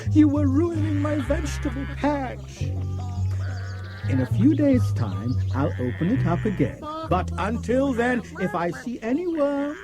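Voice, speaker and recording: A man's voice: extremely high pitch, very animated, measured pace, music in the background, full character voice. A man playing a kindly, exasperated old farmer in a children's audiobook, coaxing and grumbling. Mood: apologetic. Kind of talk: acted audiobook storytelling for children.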